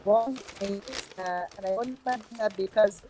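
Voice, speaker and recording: A woman's voice: medium pitch, normal speed, dark tone, slight background noise. A woman in her fifties, a politician guest talking in a friendly, conversational way with frequent fillers. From Guyana. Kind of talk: studio interview.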